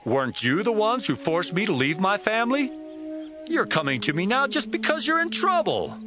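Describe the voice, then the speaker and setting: A man's voice: high voice, very animated, normal speed, heavily acted. A man playing Jephthah, a bold outcast warrior who turned leader, in a dramatized Bible reading with music behind. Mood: resentful. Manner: forceful, challenging questions. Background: background music.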